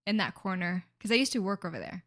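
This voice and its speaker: A young woman with a middle-pitched voice, talking in a relaxed, chatty podcast conversation. She is blunt and easygoing as she shares stories. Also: fast. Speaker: podcast co-host. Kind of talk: casual chat.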